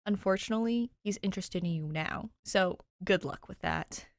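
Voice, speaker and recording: A woman's voice: medium pitch, normal speed, vocal fry, no room sound, lightly acted. A woman voicing an easygoing, amused young man in an audiobook, playful and a little patronizing. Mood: teasing. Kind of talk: audiobook character voice, fan fiction reading.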